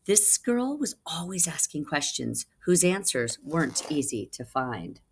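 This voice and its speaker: A woman's voice: sits low, big pitch swings, measured pace, husky. A woman reading a children's picture book aloud as a teacher would, putting dramatic emphasis on key words for young listeners. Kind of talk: expressive children's read-aloud.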